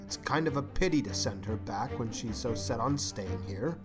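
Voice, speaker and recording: A man playing a shy, gentle but stubborn older bachelor farmer in an audiobook, speaking in a hesitant, halting, mild way. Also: medium pitch, expressive, over music, natural voice. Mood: reluctant, uncomfortable. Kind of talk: audiobook character acting.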